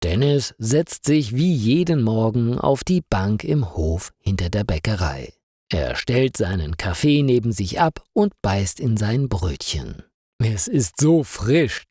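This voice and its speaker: A man's voice: lowish voice, mellow, slightly rough, no room sound, studio quality. A man reading a scripted story for language learners as its narrator.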